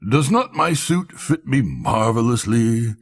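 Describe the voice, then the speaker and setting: A man's voice: medium pitch, animated, slow, breathy edge, heavily acted. A man voicing a vain but insecure emperor in a storybook, ponderous and self-questioning. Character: vain, insecure male emperor, privately anxious.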